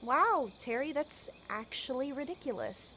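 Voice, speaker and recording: A woman's voice: sits high, expressive, measured pace, a bit bright. A woman playing a skeptical, no-nonsense college student in an amateur radio play, matter-of-fact, with crickets behind her. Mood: dismissive. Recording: noisy recording with chirping crickets.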